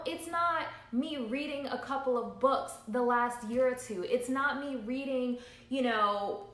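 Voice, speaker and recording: A woman in her thirties speaking as a business coach in a motivational livestream, with a fairly high voice. She is earnest and emphatic and drives her points home by repeating them. The sound is roomy and echoey. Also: a bit bright. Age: 30s. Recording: roomy echo.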